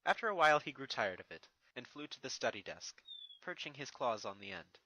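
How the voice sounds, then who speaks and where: Bright, expressive fan fiction narrator. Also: mid-range voice, fairly quick, highs cut off, slight background noise. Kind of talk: scripted story narration.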